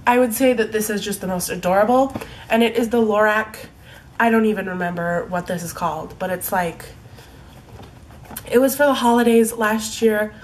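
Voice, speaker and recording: A young woman talks casually to her camera with a stuffed-up, congested voice from a cold. She rambles in a chatty way, full of likes and ums, at a middling pitch. A faint hiss sits under the home recording. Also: normal speed. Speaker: beauty vlogger. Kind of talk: casual product review. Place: home room in fading daylight.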